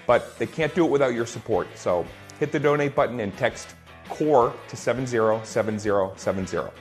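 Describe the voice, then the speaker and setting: A man's voice: mid-range voice, brisk. Man hosting a charity stream, faint music. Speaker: host of a charity table read. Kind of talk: casual hosting and fundraising appeal.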